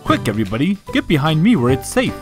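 A man's voice: fairly high pitch, wildly swooping pitch, fast, music in the background. A man voicing an anxious father pig in a children's toy story, with an exclamatory, dramatic manner. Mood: alarmed.

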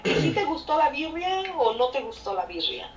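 A woman teaching a Spanish lesson online, speaking slowly with clear corrections, heard through a phone speaker. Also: high-pitched, big pitch swings, reverberant, distant mic, soft background music. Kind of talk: online class over a phone.

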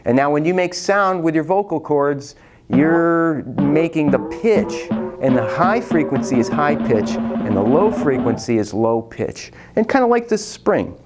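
A middle-aged man with an American accent presents hands-on science in a classroom lab. He talks casually and colloquially, slipping in dry little jokes as he explains, with music clearly playing behind him. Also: on the high side, expressive, unhurried. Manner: playful, colloquial explaining with joking asides. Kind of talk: casual science demonstration to camera. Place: classroom laboratory, indoors.

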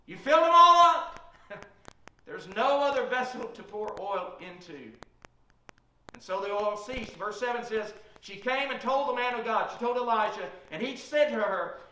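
A man's voice: very high voice, animated, moderate pace, pushed and bright. A middle-aged man preaching a sermon at a pulpit in a small church, retelling Bible stories. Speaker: pastor. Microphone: room mic from a far camera. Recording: echoey church from far off.

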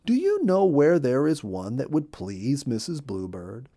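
A polite male bluebird from a storybook acted by a man. He sounds earnest and courteous, with a plain, mellow voice. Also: medium pitch, wildly swooping pitch, measured pace, full character voice.

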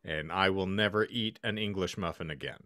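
A man's voice: deep voice, fairly quick, bright, forceful tone, no echo, clean studio sound. A man co-hosting a podcast, talking in florid, wordy phrases with a joking, casual delivery. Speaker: podcast co-host. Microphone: close podcast microphone, video call.

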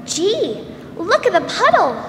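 A young woman playing an eager, excitable twelve-year-old girl in a 1940s-style radio drama on stage. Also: extremely high pitch, slow, heavily acted. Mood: eager, curious. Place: large theatre stage, indoors. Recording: echoey stage hall, faint noise.

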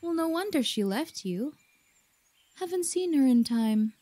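A woman's voice: high voice, expressive, a little slow, dark tone, no room sound. A woman playing a mocking female warrior in an audio roleplay, sarcastic and jeering. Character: gleefully mocking female warrior squad member.

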